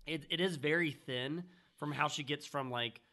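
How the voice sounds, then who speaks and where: A man co-hosting a film podcast, thinking aloud in a relaxed way with plenty of fillers. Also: a little high, moderate pace. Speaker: podcast co-host. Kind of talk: casual film discussion.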